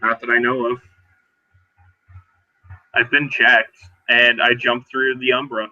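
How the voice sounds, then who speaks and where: A man playing a haunted, uneasy werewolf who escaped captivity, speaking plainly and haltingly in a roleplaying game. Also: mid-range voice, little pitch movement, pushed and bright, highs cut off. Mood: earnest, halting.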